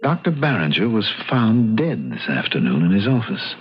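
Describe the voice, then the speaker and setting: A man's voice: fairly low pitch, wildly swooping pitch, moderate pace, slightly rough, full character voice. A despairing, agitated man in an old radio drama. His delivery swings widely and emphatically, and the sound is a muffled vintage broadcast. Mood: stunned, intense. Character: anguished male musician who lost his right hand.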